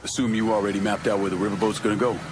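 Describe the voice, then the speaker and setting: Low-voiced, laconic war veteran played by a man. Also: little pitch movement, racing, close to an everyday voice. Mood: guarded. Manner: laconic, guarded. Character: terse, stoic war veteran turned vigilante killer. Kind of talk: understated video game cutscene acting. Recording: loud vehicle and outdoor noise.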